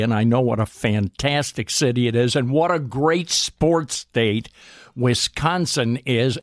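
A man hosting a radio sports program, asking measured, easygoing questions. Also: medium pitch, no echo, clean studio sound. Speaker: radio sports show host.

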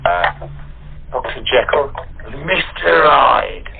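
A man chatting casually as an actor guest, with a wry, wordy, halting way of talking. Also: medium pitch, sing-song, vocal fry, husky. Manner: wry, wordy, hesitant delivery. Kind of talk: casual chat. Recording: muffled, narrow phone-like line.

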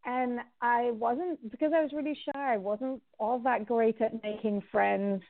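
A chatty woman with a gravelly voice, stumbling through restarts as she talks. She comes through a tinny, dry phone line. Her pitch sits in the middle. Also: steady tone, a little slow, no room sound. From born in Guyana, raised in England and the Caribbean. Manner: chatty, with many restarts and fillers. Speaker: author guest. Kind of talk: conversational interview answers.

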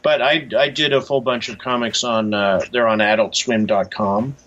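A man answering casually as an interview guest, hesitant, low-key and matter-of-fact. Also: steady tone, moderate pace, a bit bright, dull audio. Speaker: interview guest, comics creator.

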